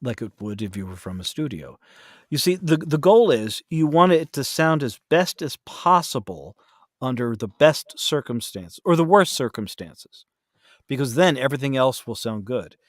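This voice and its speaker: A man's voice: mid-range voice, expressive, moderate pace, dry recording, clean studio sound. A man chatting casually as a podcast guest, telling anecdotes and acting out the remarks he quotes.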